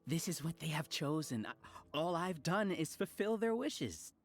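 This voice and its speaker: Game villain: a condescending schemer, measured and lecturing, expressive pitch. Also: male voice. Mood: cold smug. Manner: measured, lecturing, coldly polite. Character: smug scheming male scholar villain, calm and condescending. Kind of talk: game voice acting.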